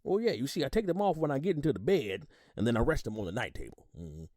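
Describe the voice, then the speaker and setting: A man's voice: mid-range voice, very animated, fast talker, darkish tone, no echo, studio quality. A man playing an older retired army sergeant on the witness stand in an audio drama, folksy and gossipy, rambling and repeating himself. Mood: defensive, folksy. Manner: folksy, rambling, repeats words, hums agreement. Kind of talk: audio drama acting, courtroom testimony.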